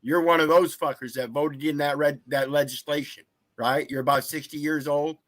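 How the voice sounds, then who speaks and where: An older man on a live stream who speaks bluntly and makes accusations. His voice sits fairly high. The sound is dry. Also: steady tone, normal speed, a bit bright, no echo. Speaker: caller in a debate.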